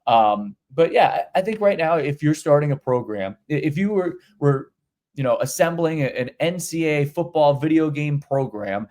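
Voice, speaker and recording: A man's voice: on the high side, expressive, moderate pace, a little mellow. A middle-aged man co-hosting a podcast, talking college football casually with many restarts and repeated words. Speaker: podcast co-host. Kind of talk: casual sports talk.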